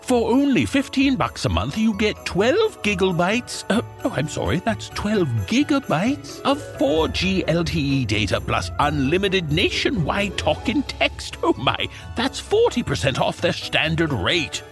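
A jolly Santa Claus sells a holiday deal in a theatrical podcast ad. His voice is high and swings up and down dramatically over a clear music bed. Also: huge pitch swings, unhurried, hardly any pauses, a bit bright, full character voice. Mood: jolly salesmanship. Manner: theatrical, salesy. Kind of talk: scripted podcast advertisement.

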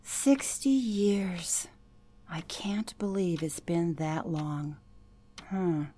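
Female actor as elderly interviewee in an audio drama; lowish voice, unhurried delivery, reserved, hesitant, haunted by memory. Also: dark tone. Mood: reflective, hesitant. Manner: hesitant, reflective. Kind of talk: radio play acting, interview.

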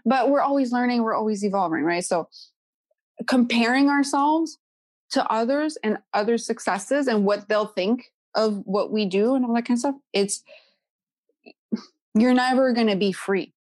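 A woman's voice: on the high side, normal speed, darkish tone, no echo. A woman in her thirties from Quebec with a Quebec French accent, talking as a podcast guest in a casual chat, often adding "you know" and "right?".

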